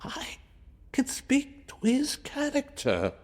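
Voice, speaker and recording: An actor playing a troubled, kindly shipowner in a period audio drama, speaking earnestly in halting, emphatic phrases. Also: male voice, very high-pitched, huge pitch swings, darkish tone, exaggerated voice. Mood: earnest, pleading.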